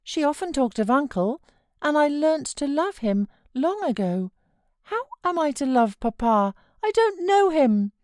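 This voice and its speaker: A woman's voice: high voice, very animated, measured pace, darkish tone, dry recording, studio quality, pitched above the performer's own voice. A British woman voicing a sickly, fretful young boy in an audiobook, plaintive, childlike and questioning. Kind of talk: audiobook character voice.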